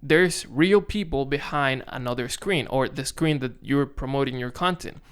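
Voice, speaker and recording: Young man, Dominican Spanish accent, mid-pitched, smooth resonant voice, casual to-camera talk, self-conscious restarts. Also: normal speed. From Dominican Republic. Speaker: content creator. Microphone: camera on tripod.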